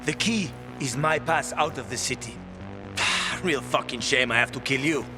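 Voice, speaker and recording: A man's voice: medium pitch, normal speed, close to an everyday voice. An actor playing a cynical, bitter man cornered in a zombie apocalypse, curt and sardonic, in a video game cutscene. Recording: music under the voice.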